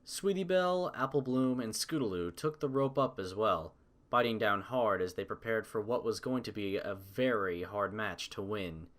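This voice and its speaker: Guy narrating a story from a script, lowish voice. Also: moderate pace, fairly bright tone.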